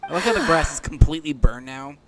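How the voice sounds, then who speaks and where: A man's voice: on the high side, sing-song, fast talker, crowd noise. A man giving casual commentary while playing a video game. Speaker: gamer. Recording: music behind the voice.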